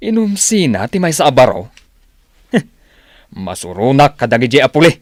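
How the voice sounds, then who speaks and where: A man's voice: mid-range voice, very animated, unhurried, no echo, clean studio sound. A man with an Ilocano accent playing a talkative working husband and father in a radio drama. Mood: amused, gossipy.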